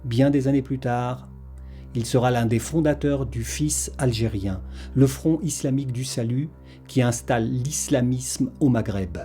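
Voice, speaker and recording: A man's voice: medium pitch, unhurried, warm tone, faint music behind. A man reading a scripted historical documentary narration as its narrator.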